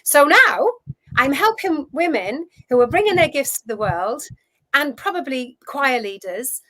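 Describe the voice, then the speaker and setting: A woman's voice: high voice, a little slow, rough recording. Chatty Welsh woman in her fifties. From Wales. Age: 50s. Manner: chatty, animated storyteller with frequent restarts. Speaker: guest, food relationship coach. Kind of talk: casual interview chat.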